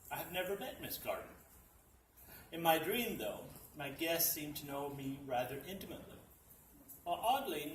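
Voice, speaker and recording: Man reading a story aloud, deadpan. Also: a little high. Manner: dry, deadpan storytelling, with a few restarts. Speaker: reader at a literacy event. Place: library meeting room at a dinner event. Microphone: distant mic. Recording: echoey room, distant mic, background noise.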